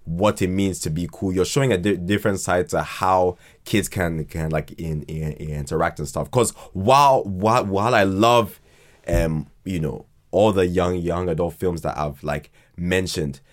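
A man with a fairly low voice speaks casually as an interview guest. He thinks aloud, stumbling over and repeating words before landing his point. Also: moderate pace. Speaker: podcast guest, fiction podcast writer.

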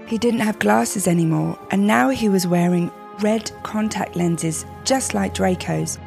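A woman's voice: mid-range voice, unhurried, creaky, a little mellow, faint music behind. A woman voicing a vain, lovestruck, self-pitying teenage goth vampire girl in an overwrought, theatrical reading of fan fiction. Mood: breathless, earnest.

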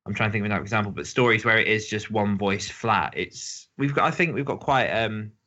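A man with a lowish, hard-edged voice chats casually as a podcast co-host. He rambles and backs up to restate things. The recording sounds somewhat dull and band-limited. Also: a little fast, pushed and bright. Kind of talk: casual discussion.

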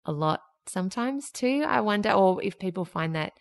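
A woman in her thirties hosting a podcast, with a young-sounding voice, who laughs often and chats warmly with her guest. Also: lively intonation, normal speed. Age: 30s. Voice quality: high-pitched, young-sounding voice. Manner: warm, laughs often, affirming. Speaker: podcast host. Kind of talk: casual interview chat.

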